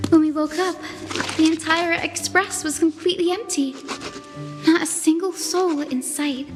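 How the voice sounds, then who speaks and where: A woman's voice: very high voice, steady tone, measured pace, long reverb tail, music in the background. A woman playing a sweet, caring young woman in a video game, with a kindly, encouraging manner. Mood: friendly.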